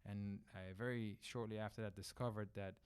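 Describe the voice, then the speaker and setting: A man's voice: lowish voice, steady tone, a little fast, a little mellow, clear, resonant tone. A middle-aged man from the southern Netherlands, a Dutch speaker talking English, explaining at length as an interview guest with fillers and restarts. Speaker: winery managing director, guest. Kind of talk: conversational interview.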